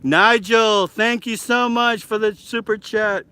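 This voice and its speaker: A middle-aged man from Alaska hosting a casual live-stream vlog, excitable and chatty with lots of fillers, filmed outdoors on a handheld camera. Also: high voice, takes it slowly, clean tone. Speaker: vlog host.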